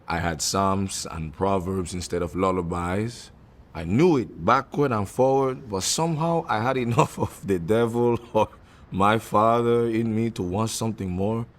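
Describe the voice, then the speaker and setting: An actor playing a suave, gallant Cuban-born man in a radio drama, speaking gently and intimately as he teases and woos. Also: lowish voice, measured pace, natural voice. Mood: reminiscing. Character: suave Cuban-born man, successful performer, tender and teasing suitor. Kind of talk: comedic radio drama acting.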